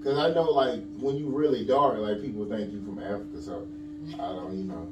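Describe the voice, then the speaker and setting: A man's voice: lowish voice, fairly even intonation, brisk, music in the background. A man from Alabama hosting a casual podcast chat, rambling with lots of fillers while he asks questions. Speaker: podcast host. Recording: echoey room, distant mic, background noise.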